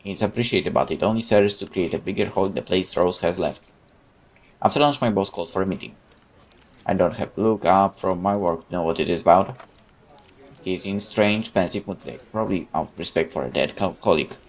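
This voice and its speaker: A man's voice: sits low, brisk. A man reading visual novel narration aloud dramatically, with casual asides as a gaming host.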